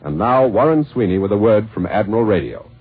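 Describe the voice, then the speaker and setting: Low-voiced American anchor, tinny old radio. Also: male voice, animated, fairly quick, breathy edge, soft background music. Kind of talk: 1940s radio newscast, scripted. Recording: tinny narrow-band 1940s radio recording.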